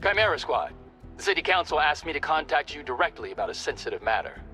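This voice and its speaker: Bright, high-pitched formal official. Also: male voice, sing-song, fast talker, low-quality recording. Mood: formal, pressing. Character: formal male official addressing the squad. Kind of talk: game cutscene briefing. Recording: music under the voice.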